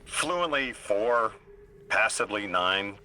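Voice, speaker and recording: A man's voice: lowish voice, lively intonation, slow pace, bright, forceful tone, a little hiss or hum, exaggerated voice, low-quality recording. An actor playing an earnest, emotionally open man displaced from his own time in a video game cutscene. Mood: wry, reflective.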